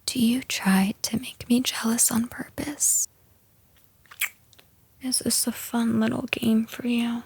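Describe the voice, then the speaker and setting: A woman's voice: on the high side, flat delivery, a little mellow, no echo, full character voice. A woman playing a jealous, possessive, unhinged girlfriend in an intimate roleplay audio drama, taunting the listener with condescending sweetness and menace. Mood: quietly menacing. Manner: slow, taunting, condescending pet names, slipping into breathy whispers.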